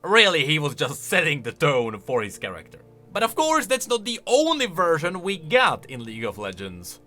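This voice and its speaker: A man giving casual, conversational reaction commentary as a video host, with an animated, questioning manner. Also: a little high, normal speed, faint music behind. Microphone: close studio-style microphone.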